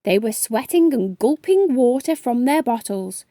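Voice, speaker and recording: A woman reading a children's bedtime story aloud with expressive, careful phrasing. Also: on the high side, measured pace, no room sound, clean studio sound.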